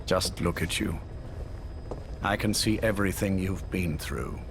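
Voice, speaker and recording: An actor playing an older male spymaster who speaks in a calm, courteous, probing way. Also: low-pitched, a little slow, background noise. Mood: measured.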